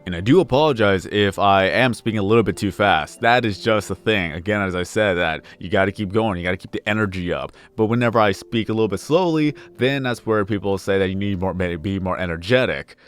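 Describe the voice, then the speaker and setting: A young man with a deep baritone voice chatting casually over gameplay, rambling and correcting himself as he goes, pushing extra energy into his delivery. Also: brisk, few pauses, clear, resonant tone. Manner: rambling, self-correcting, repeats phrases; puts on extra energy for videos. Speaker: gaming YouTuber. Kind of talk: casual let's play commentary.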